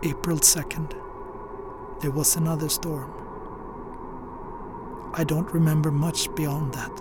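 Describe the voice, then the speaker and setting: Haunted, grave Scandinavian sailor. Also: male voice, a little high, flat delivery, takes it slowly, noisy background. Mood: weary, bleak. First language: Scandinavian language. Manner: grave, weary, confessional. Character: haunted Scandinavian sailor recounting a horror at sea. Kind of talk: radio drama narration.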